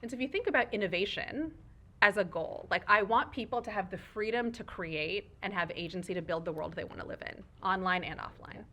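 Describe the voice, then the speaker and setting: Bright-voiced woman, native Urdu speaker. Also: mid-range voice, expressive, fairly quick, slight background noise. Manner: thoughtful, expansive, frequent "right?" and "like". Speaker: guest speaker, foundation leader. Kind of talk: live fireside chat before an audience. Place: event room with audience.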